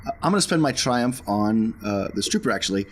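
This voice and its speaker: A man's voice: on the low side, expressive, fairly quick, husky. A man playing a tabletop game, talking casually and working out his moves aloud.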